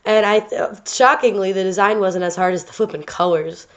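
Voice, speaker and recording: A woman's voice: mid-range voice, fairly even intonation, normal speed. Woman, stuffy nose, casual rambling art-video commentary, slightly muffled home recording. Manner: rambling, many fillers and restarts. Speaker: art channel host.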